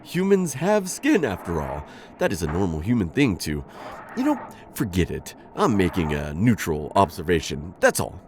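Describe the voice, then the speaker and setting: A flustered insectoid bounty hunter. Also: male voice, low voice, huge pitch swings, moderate pace, background noise, exaggerated voice. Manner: formal, flustered, rambling and overexplaining. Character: awkward insectoid male alien bounty hunter. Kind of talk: ASMR roleplay audio drama.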